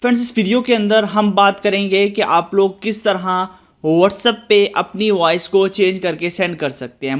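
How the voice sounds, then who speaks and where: Male tutorial host, high register, instructional delivery straight to the viewer. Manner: instructional, repeatedly addressing viewers. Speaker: host of a tech tutorial channel. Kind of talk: screen-recorded app tutorial narration.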